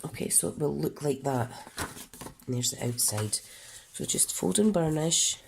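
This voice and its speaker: Very low, gravelly British woman crafter. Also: lively intonation, moderate pace, background noise. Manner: chatty, stops to self-correct and explain. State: congested, coughing. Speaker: papercraft demonstrator. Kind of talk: casual craft tutorial.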